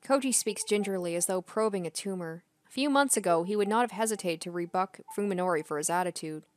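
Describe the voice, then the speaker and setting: A woman's voice: mid-range voice, fast talker. A woman streaming a game, reading visual novel narration aloud with casual asides. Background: soft game music.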